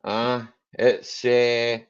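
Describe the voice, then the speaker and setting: A man with a strong Scottish Highland accent hosting a casual live chat, joking, laughing often and dropping "aye" and "eh" into his talk. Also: flat delivery, extremely slow, clean tone, dull audio. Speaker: livestream host.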